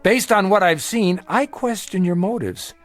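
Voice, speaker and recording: A man's voice: sits high, a little slow, faint music behind. An actor playing a suspicious, sarcastic male traveller in a television drama, arguing with theatrical emphasis. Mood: indignant. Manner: argumentative, sardonic, theatrical emphasis. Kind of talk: dramatic television-style acting.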